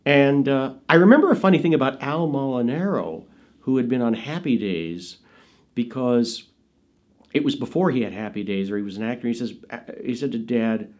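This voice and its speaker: A man's voice: medium pitch, normal speed, a little mellow. An American man in his fifties telling anecdotes in an interview, listing things with emphasis. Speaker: interviewee, actor and filmmaker. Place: indoors, in front of dark curtains.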